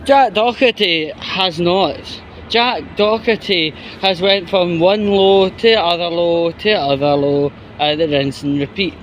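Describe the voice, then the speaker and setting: A man giving casual opinion commentary in a halting, rambling, disapproving way. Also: high voice, a little hiss or hum, rough recording. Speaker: commentary YouTuber.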